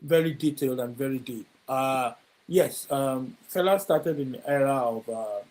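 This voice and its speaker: A man's voice: medium pitch, moderate pace, darkish tone, low-quality recording. A man hosting an interview, chatty and affirming. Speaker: show host, DJ. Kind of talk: casual interview chat.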